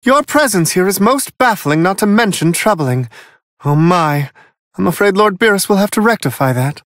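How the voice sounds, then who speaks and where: Unflappable celestial attendant, male figure, prim and courtly, theatrical, very animated intonation, amused, video game commentator, dry studio sound. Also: high voice, moderate pace, dry recording. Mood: curious, wry.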